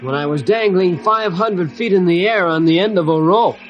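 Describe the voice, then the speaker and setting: A man's voice: sits high, moderate pace, lo-fi sound, lightly acted. A man playing an earnest, contrite boy climber in an old-time radio adventure. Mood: relieved, contrite. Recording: noisy old radio drama recording.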